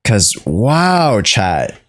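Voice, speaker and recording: A man talking off the cuff to his livestream chat, halting and repeating himself as he searches for words. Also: fairly low pitch, wildly swooping pitch, fairly bright tone, slight background noise. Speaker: streamer. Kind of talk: unscripted livestream commentary to chat.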